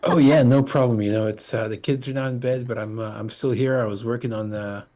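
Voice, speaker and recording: A middle-aged man from Portugal, a musician guest talking in a halting, casual way full of fillers and restarts over a video call. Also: medium pitch, fairly even intonation, dark tone. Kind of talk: casual interview chat.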